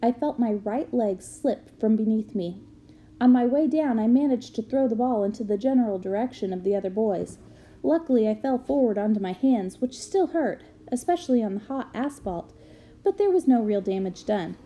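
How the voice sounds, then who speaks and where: A woman reading a book aloud as an expressive narrator. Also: fairly high pitch, moderate pace. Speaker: reader of a children's book aloud.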